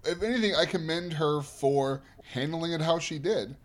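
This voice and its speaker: A man's voice: fairly high pitch, moderate pace. Emphatic, opinionated male podcast co-host. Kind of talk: casual chat.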